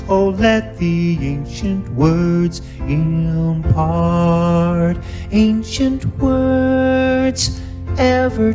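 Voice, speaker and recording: A woman singing a devotional hymn as a worship singer, slowly and with musical accompaniment. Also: on the low side.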